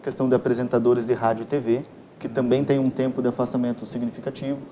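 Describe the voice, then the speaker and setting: A man's voice: medium pitch, little pitch movement, fast talker. A Brazilian man giving formal, explanatory answers as an expert interview guest, with precise, legalistic wording. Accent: Brazilian Portuguese. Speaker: interview guest, electoral lawyer. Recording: clear ambient background noise.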